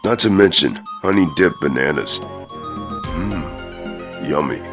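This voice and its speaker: Big hot-headed comic ape male performer, deep, animated delivery, cartoon buddy banter over background music. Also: slow, heavily acted. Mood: amused. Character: big hot-headed shameless gorilla, buddy talk-show host. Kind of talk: scripted comic cartoon dialogue.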